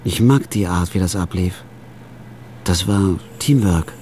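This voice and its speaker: A man narrating a radio drama in the first person as a store clerk, in a flat, laconic, hard-boiled way. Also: low-pitched, a little slow, slight background noise.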